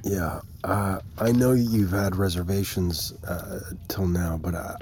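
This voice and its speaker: An actor playing a concerned, probing male adviser in a naturalistic audio drama, halting, careful and understated. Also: sits low, steady tone, a little mellow, slight background noise. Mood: sombre, careful.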